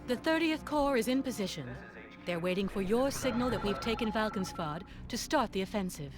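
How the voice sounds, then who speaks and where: A woman's voice: medium pitch, lively intonation, fairly quick, natural voice. War game adviser, played by a woman: composed, direct, middle register, music underneath. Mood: brisk, confident. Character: composed female wartime military adviser, confident and direct. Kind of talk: military video game dialogue.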